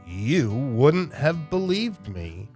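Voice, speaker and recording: A man's voice: medium pitch, sing-song, faint music behind. Guy doing a kindly magical butterfly from a kids book, wise and soothing, takes its time. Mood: knowing. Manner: gentle, measured, reassuring. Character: wise, encouraging magical butterfly, gentle mentor.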